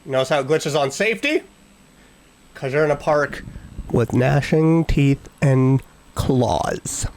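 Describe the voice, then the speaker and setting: A man giving casual, sarcastic reaction commentary with animated, mock-excited asides over background music. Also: mid-range voice, takes it slowly, slight background noise. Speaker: reaction video host.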